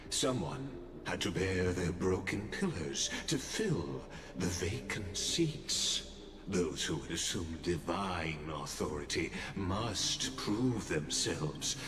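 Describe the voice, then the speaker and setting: An actor playing a calm, scheming male oracle in a game cutscene, with measured, grandiose delivery. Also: deep voice, full character voice. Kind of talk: voiced game cutscene dialogue.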